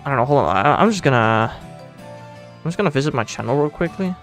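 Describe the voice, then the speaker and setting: A man with a Dutch accent talks through a live stream, his voice pitched in the ordinary middle range with a rough, fry-edged texture. He thinks aloud and rambles, often restarting his sentences and poking fun at himself. Soft music plays faintly underneath. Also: lively intonation, fairly quick. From Netherlands. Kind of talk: casual live-stream chat.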